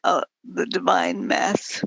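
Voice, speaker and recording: A woman speaking frankly in a group conversation in a weakening, often whispered voice, halting and breathy with long pauses. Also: lowish voice, level tone, fairly bright tone, dry recording, dull audio. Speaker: host of a conversation series.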